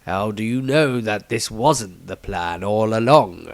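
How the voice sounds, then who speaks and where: A man voicing an aged, solemn wizard patriarch in a fantasy story, with slow, halting, weighty speech. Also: on the low side, expressive, no room sound.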